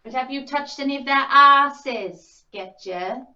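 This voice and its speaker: A woman's voice: high voice, slow, bright, forceful tone, roomy sound, lo-fi sound, slight background noise, full character voice. A woman playing a bossy researcher on a polar expedition, talking in a scolding, sarcastic way. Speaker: actor in a telepresence improv rehearsal.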